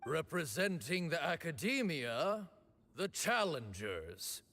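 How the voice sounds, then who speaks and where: A man's voice: fairly high pitch, big pitch swings, slow pace, pushed and bright, soft background music, heavily acted. A man voicing a solemn, commanding master of ceremonies at a ritual duel in a video game, formal and declamatory. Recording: long hall-like reverb.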